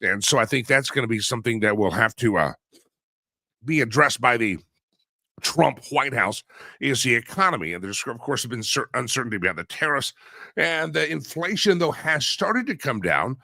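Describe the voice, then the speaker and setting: Lively, bright-voiced male talk host. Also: mid-range voice, normal speed, dry recording, studio quality. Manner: rambling, joking, filler-heavy. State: slightly hoarse from a cold. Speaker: radio and stream host. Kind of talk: casual talk show monologue.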